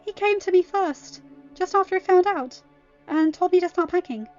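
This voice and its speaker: A woman's voice: extremely high pitch, moderate pace, highs cut off, faint music behind, pitched above the performer's own voice. A woman voicing an anguished, tender yet commanding young noblewoman in an audiobook, emphatic and desperate. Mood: earnest.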